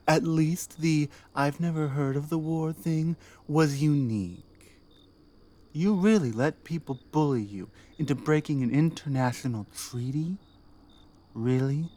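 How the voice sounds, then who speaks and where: A woman playing a commanding, distrustful orc queen in an ASMR roleplay, sardonic and teasing, speaking low and dropping into murmurs. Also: dark tone. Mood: mocking disbelief. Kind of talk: ASMR roleplay, addressing the listener.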